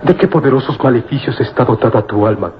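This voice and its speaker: A man's voice: medium pitch, expressive, quick pace, slight background noise, close to an everyday voice. Fawning, trembling desert servant played by a man. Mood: awed. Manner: imploring, stammering, repetitive, florid oaths. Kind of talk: old radio serial acting. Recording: dull old radio serial recording.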